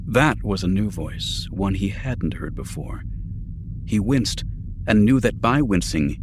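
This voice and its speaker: A man narrates an audiobook in a low-pitched voice. His reading is measured, serious and polished, with a dramatic storytelling style. Clear ambient effects and rumble run behind the voice. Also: expressive. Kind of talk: dramatic scripted narration of science fiction.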